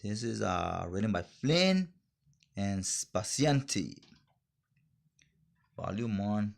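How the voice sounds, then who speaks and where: A man's voice: lowish voice, gravelly. A man chatting casually in slangy, informal fan talk as he reviews things for a vlog. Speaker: comic book haul reviewer.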